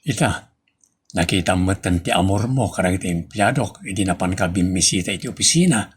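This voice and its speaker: A man's voice: low voice, animated, a little fast, breathy edge, full character voice. A man acting a reassuring, devoted businessman in love in a radio drama. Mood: firm, comforting. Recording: music behind the voice. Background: music.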